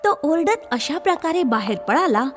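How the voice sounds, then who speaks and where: A woman narrating a children's fairy tale in an expressive, scripted storytelling style. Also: high-pitched, normal speed.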